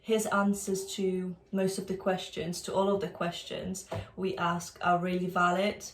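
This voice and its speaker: Flat-voiced young Polish-native woman. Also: fairly low pitch, unhurried. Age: young adult. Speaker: student co-host. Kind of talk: casual chat. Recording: echoey room.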